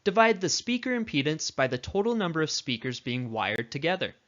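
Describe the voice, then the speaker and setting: Higher-pitched man narrating a tutorial, slightly dull audio. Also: big pitch swings, a little fast, fairly bright tone. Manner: explanatory, instructional. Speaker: instructor.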